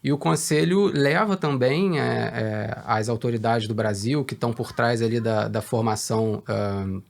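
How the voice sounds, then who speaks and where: A man from Brazil with a gravelly, creaky voice at a middle pitch. He answers questions in a business talk, measured and hesitant, pausing on fillers as he builds his points. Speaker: guest, research director. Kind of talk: conversational business interview.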